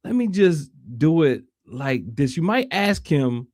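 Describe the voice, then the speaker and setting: An American man hosting a casual livestream discussion, speaking haltingly and repeating phrases for emphasis. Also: on the high side, very animated, a little mellow, dry recording, studio quality. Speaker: online show host.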